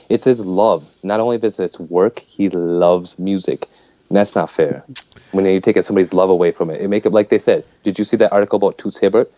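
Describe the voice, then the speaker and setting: A man's voice: low-pitched, dark tone. A man giving impassioned, emphatic opinions in a talk discussion, repeating points to drive them home. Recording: dull, roomy lo-fi call audio.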